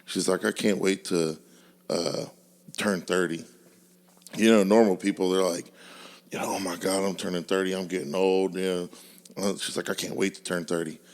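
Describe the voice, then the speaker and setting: A man in his late twenties co-hosting a podcast, chatting casually in a relaxed, slangy way and telling stories with frequent laughs. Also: sits low, normal speed. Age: 20s. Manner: laid-back, slangy storytelling, laughs often. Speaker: podcast co-host. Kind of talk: casual chat.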